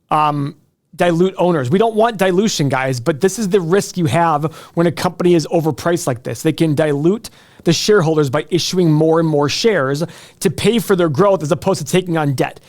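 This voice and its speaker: Male finance host, high-register voice, conversational live commentary that speaks straight to the audience. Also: a little mellow. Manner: conversational, explains by addressing viewers directly. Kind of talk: live stock earnings commentary.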